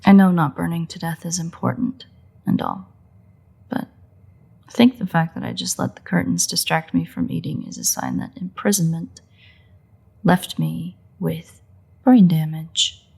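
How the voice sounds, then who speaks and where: A woman's voice: on the low side, fairly even intonation, measured pace, gravelly, pauses often, a little mellow, husky, heavily acted. A woman voicing a playful, cocky vampire in an intimate audio roleplay, drawling and teasing with wry sighs. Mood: dry, grumbling.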